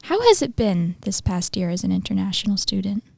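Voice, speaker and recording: A woman's voice: medium pitch, sing-song, fairly quick, gravelly, darkish tone, dry recording. A woman hosting a podcast, asking short, encouraging interview questions. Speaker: podcast host.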